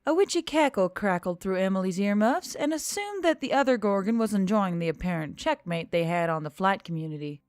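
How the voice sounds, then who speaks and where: A woman's voice: mid-range voice, lively intonation, fairly quick, few pauses, a little mellow, dry recording, studio quality. A woman reading scripted fiction as an audiobook narrator.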